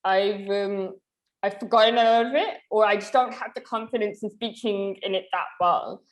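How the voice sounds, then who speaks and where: A woman's voice: mid-range voice, moderate pace. A woman with a British accent, whose first language is Urdu, hosting an interview in a chatty, conversational way. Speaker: host. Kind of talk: casual interview talk.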